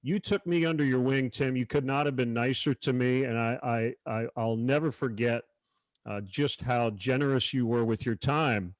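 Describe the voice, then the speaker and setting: A man's voice: mid-range voice, moderate pace, no room sound, rough recording. A man working as an announcer, hosting a virtual sports panel discussion. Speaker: panel host, baseball announcer.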